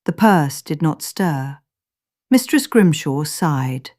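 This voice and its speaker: Low-pitched woman storyteller, slow and measured. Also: sing-song, a little mellow. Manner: measured, literary storytelling delivery. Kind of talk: scripted story narration.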